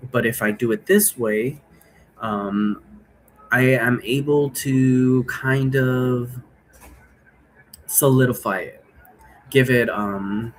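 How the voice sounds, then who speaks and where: A man's voice: medium pitch, slight background noise, poor audio. A man casually explaining his role-play game in a rambling way, often checking for agreement with tag questions. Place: indoor room.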